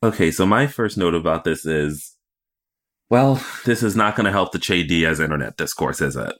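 A man's voice: low-pitched, lively intonation, moderate pace, fairly bright tone. A man co-hosting a podcast, chatting casually and animatedly about television. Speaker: podcast co-host. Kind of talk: casual banter about a TV show.

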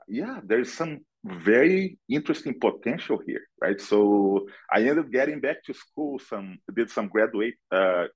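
A man's voice: on the low side, poor audio. A middle-aged man with a Brazilian accent giving conversational interview answers as a guest, hesitantly searching for words and often checking in with "right?". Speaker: podcast guest, analytics director.

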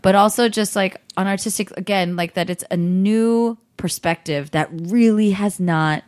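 A woman from California, a podcast co-host, chatting in an animated way. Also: mid-range voice, moderate pace. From California, USA. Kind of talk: casual conversation.

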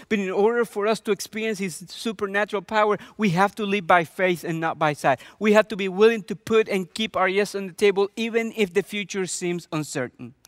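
Earnest pastor, second-language English, dry. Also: male voice, high-pitched, a bit bright, dry recording. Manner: earnest, with frequent restarts and repetitions. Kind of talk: sermon with personal testimony.

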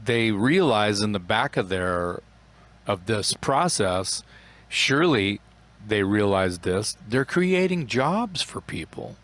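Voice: mid-range voice, sing-song, takes it slowly, a bit bright. A podcast co-host chiming in during a casual interview.

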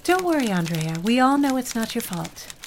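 A woman's voice: fairly high pitch, very animated, normal speed, a little hiss or hum. A woman playing a pragmatic, worldly office colleague in an audio drama, giving matter-of-fact advice. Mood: frank.

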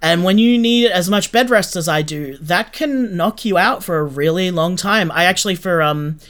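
A man's voice: sits high, moderate pace, fairly bright tone. A man giving chatty, informal answers as an interview guest. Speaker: interview guest, podcast creator. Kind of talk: casual conversational interview answers.

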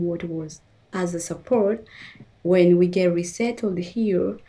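Mellow-voiced woman from the Congo. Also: lowish voice. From Democratic Republic of the Congo. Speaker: guest, former refugee. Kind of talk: interview answers.

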